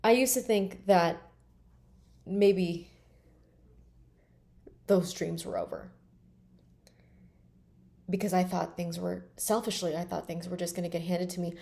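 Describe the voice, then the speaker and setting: A woman's voice: lowish voice, fairly even intonation, unhurried, creaky, long pauses, warm tone. A woman in her late twenties talking bluntly and emphatically in a candid personal vlog, swearing freely. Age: 20s. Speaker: vlogger.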